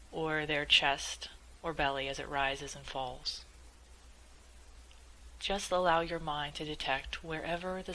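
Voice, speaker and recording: A woman leading a guided meditation in a measured, gently instructive way, as calm spoken instruction. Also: sits low, slight background noise, rough recording.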